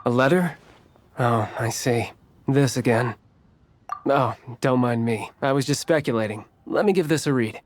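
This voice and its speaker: A man's voice: medium pitch, normal speed, close to an everyday voice. Man playing a formal, courteous guild steward. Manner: formal, hospitable. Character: courteous, deferential male merchant guild steward. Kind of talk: game voice acting.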